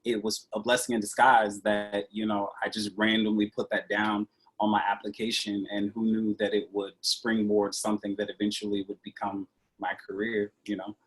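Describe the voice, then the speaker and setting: A man, an actor, recounting his career in a relaxed interview over a video call, with frequent "you know"s. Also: lowish voice, moderate pace, breathy edge, poor audio. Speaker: actor guest. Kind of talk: casual interview storytelling.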